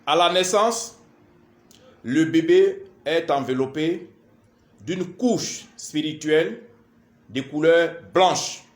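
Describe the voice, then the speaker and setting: High-voiced man lecturing in echoey room. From Ivory Coast. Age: 40s. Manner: deliberate, didactic, repetitive, halting phrases with frequent verbal tags. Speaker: spiritual lecturer, astrologer. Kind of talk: spoken lecture to camera.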